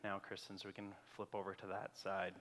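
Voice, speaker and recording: A man preaching as a pastor, his voice cracking as he loses it, moving from a soft, slow prayer to lively questions to the congregation. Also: low-pitched. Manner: prays softly and slowly, then preaches with rising energy and questions. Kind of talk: sermon with spoken prayer. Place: church sanctuary. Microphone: church PA microphone. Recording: long hall reverb.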